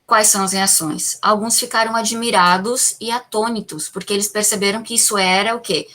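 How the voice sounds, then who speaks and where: Bright-voiced Brazilian woman teaching. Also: mid-range voice, fairly even intonation, a little fast. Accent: Brazilian Portuguese. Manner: explanatory, asks the class questions and answers them; peppers sentences with "tá?" and "né?". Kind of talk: classroom Bible lesson.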